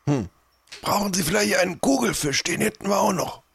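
A man playing a gravelly pirate sidekick who likes to barter, in an improvised audio play. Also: high-pitched, brisk, a bit bright, heavily acted. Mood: bargaining. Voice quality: gravelly, creaky. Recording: faint background noise.

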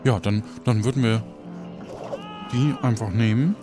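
A man's voice: medium pitch, a little slow, natural voice. A man playing a muddled, fretful pirate crew member in an improvised audio play, hesitant and repeating himself. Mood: chatty. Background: background music.

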